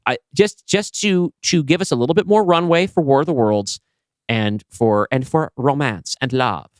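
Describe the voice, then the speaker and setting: A man's voice: medium pitch, normal speed, smooth, no echo, studio quality. A man co-hosting a podcast in casual conversation, speaking in an emphatic, enthusiastic way. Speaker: podcast co-host and writer.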